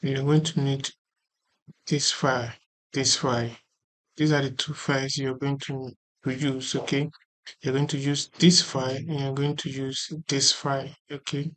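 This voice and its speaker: A tutorial host explaining software step by step in an informal way, repeating points and often checking that the listener follows. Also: mid-range voice, flat delivery, measured pace. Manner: repetitive, often checking for understanding.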